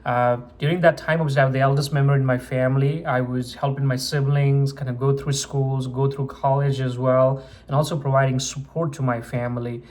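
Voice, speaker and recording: A man's voice: mid-range voice, little pitch movement, normal speed, few pauses, a little mellow. A man in his twenties from Nepal, a medical student telling his own story on his channel in an earnest way, with many fillers and restarts. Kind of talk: personal story told to camera.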